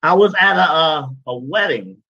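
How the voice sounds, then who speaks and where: A man's voice: fairly high pitch, animated, moderate pace, bright, forceful tone, dry recording, dull audio. A man, talking casually as an interview guest about his cigar business, rambling with many "you know"s. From Guyana.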